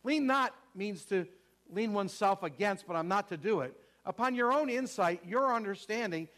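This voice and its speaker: High-pitched guy preaching a sermon, keeps repeating himself and quizzing the crowd. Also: animated, moderate pace, a bit bright. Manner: emphatic, repetitive, asks the congregation questions.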